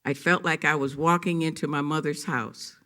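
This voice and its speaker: A man giving formal, heartfelt remarks at a sister-city ceremony in a measured way. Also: fairly high pitch, steady tone, pushed and bright, clean tone.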